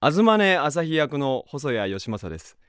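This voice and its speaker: A man's voice: on the high side, very animated, unhurried, a bit bright, smooth, no room sound, studio quality. A man who works as a voice actor, giving a rambling, reflective cast comment off the cuff.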